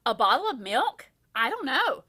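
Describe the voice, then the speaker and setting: A woman voicing an earnest, excitable ordinary married man in a storybook, full of exclamation and wonder. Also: high voice, normal speed, exaggerated voice. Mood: flustered.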